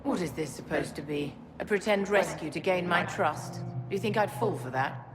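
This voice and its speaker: A woman's voice: fairly low pitch, normal speed, close to an everyday voice. A woman playing a scornful, imperious enchantress in a fantasy game, with a cutting delivery. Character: haughty, scornful, distrustful captive enchantress. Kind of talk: dramatic fantasy game dialogue. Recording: hall reverb with clear music behind.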